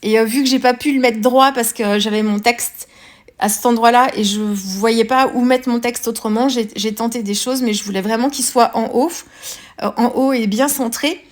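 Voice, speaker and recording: A woman with a French accent talks through a craft project in a fairly high voice. She pauses often and restarts her sentences. Her tone is casual and chatty, like a friendly tutorial. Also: fairly even intonation. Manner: hesitant, many fillers and restarts. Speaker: scrapbooking vlogger.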